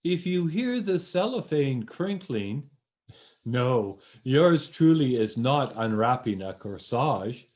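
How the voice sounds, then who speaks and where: A man's voice: medium pitch, sing-song, slow pace, a little mellow. A man playing a folksy, hammy old-time radio announcer, on a dull lo-fi recording. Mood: playful, salesy. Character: genial folksy male radio announcer plugging toffees. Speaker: announcer and narrator.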